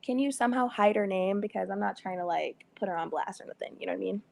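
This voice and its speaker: Chatty woman with a gravelly fry. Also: medium pitch, fast talker, a little mellow, no echo. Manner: chatty, explains at length, sprinkles in quoted thoughts. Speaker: caller on a live panel. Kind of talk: casual live-stream chat.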